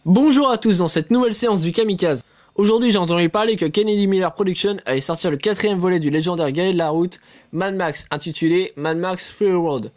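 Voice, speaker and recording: A man's voice: sits high, brisk, a little mellow. A man hosting a film review show, reading scripted narration with casual, joking asides.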